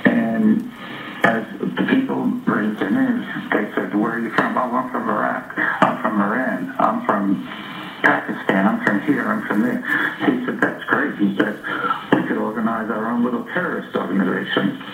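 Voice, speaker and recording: A man's voice: on the low side, animated, normal speed, vocal fry, fairly bright tone, slight background noise. A man calling in, hesitant and rambling. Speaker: caller. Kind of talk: recorded emergency call. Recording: muffled phone-line recording, roomy.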